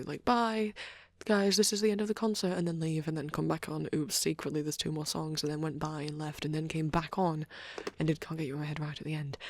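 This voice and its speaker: A woman plays a shy young vampire boyfriend in an intimate ASMR roleplay. The voice is low and husky with a crackly fry, often slipping toward a whisper. He rambles bashfully, stammering and restarting as he goes. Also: dry recording. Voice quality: soft, breathy, often near a whisper. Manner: hesitant, flustered, halting, with many stammers and trailing restarts.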